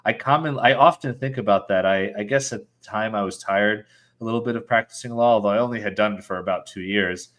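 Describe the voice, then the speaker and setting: A man's voice: lowish voice, quick pace, a bit bright. A man hosting a podcast interview, speaking hesitantly with stumbling restarts and fillers. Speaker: podcast host.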